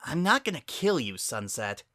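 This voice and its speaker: A tough female pegasus from a storybook drama, played by a man. She is hostile and accusing, with a raised, bright, forceful voice full of scorn. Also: medium pitch, big pitch swings, normal speed, dry recording, studio quality, pitched above the performer's own voice. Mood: scornful.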